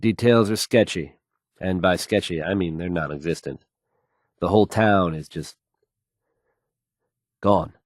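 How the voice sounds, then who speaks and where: An actor playing a self-assured, smooth male radio DJ in a game, delivering news with polished, wry showmanship. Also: low-pitched, unhurried, pauses often, no echo, studio quality. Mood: breezy, wry. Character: confident, suave, upbeat male radio DJ.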